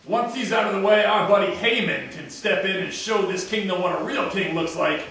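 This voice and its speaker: Man doing a grumbling, high-voiced puppet palace guard. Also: brisk, sharp tone. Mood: conspiratorial, grumbling. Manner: conspiratorial, comic. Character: disgruntled, bumbling male palace guard plotting against the king. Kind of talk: amateur puppet show acting for children. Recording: echoey room, distant mic, background noise.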